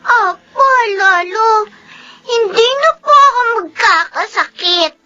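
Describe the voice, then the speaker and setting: A performer playing a sweet, sickly, obedient young grandchild in a Filipino radio drama, speaking slowly, meekly and politely. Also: very high-pitched, lively intonation, sharp tone, heavily acted. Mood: obedient, affectionate. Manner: polite, meek, slow. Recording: dull, slightly noisy radio recording.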